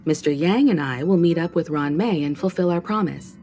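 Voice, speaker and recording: A woman voicing a poised, mature crew leader who speaks calmly and with gentle authority in a game cutscene. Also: lowish voice, moderate pace, lightly acted. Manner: calm, composed, gently authoritative. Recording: game cutscene with faint music.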